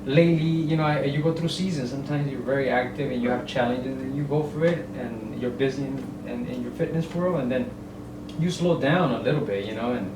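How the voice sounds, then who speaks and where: A middle-aged man from El Salvador speaks haltingly as a guest, stopping often on fillers and reaching for homely comparisons. He sits well back from the microphone in an echoey room, with steady ambient noise underneath. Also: mid-range voice. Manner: halting, many fillers and restarts, explains with homely analogies. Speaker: guest, real estate broker. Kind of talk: casual interview chat. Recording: roomy, distant mic, ambient noise.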